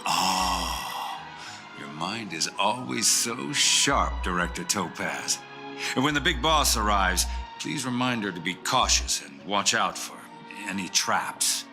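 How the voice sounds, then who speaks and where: A man voicing an anxious, deferential corporate subordinate in a video game, hesitant and flattering. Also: deep voice, takes it slowly, heavily acted. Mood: flattering, nervous. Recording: music playing behind.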